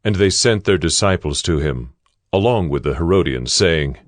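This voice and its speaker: Very deep-voiced male narrator. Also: animated, moderate pace, no room sound, studio quality. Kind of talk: scripted scripture narration.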